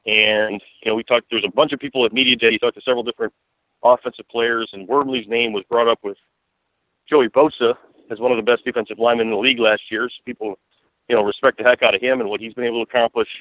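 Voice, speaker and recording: An American man talks sports as an interview guest, at a rapid clip. He rambles and repeats himself for emphasis in a mid-pitched voice, heard over a narrow phone line. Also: fairly even intonation, no echo. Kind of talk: conversational sports analysis.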